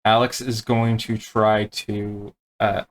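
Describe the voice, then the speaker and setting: A man acting as game master, explaining rules and narrating tabletop play in a halting, unscripted way. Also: lowish voice, level tone.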